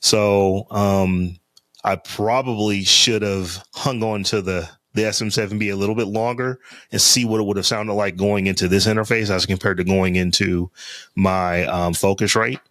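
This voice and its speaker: A man with a deep, lowish voice chats casually on his podcast, rambling and circling back with fillers as he goes. He talks close to the mic, and the sound is dry and free of room echo. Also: steady tone, normal speed, a bit bright. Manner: rambling, loose talk with many fillers and restarts. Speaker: podcast co-host. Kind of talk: casual chat. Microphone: close studio mic on a podcast interface.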